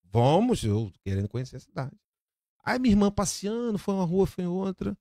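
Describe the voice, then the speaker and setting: An animated man from Brazil chats as a podcast guest. His voice sits a bit high and swings widely in pitch as he acts out the people in his story. The sound is dry and close. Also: brisk, no echo. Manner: lively, repeats words, acts out quoted dialogue with big pitch jumps. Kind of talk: animated storytelling in casual chat.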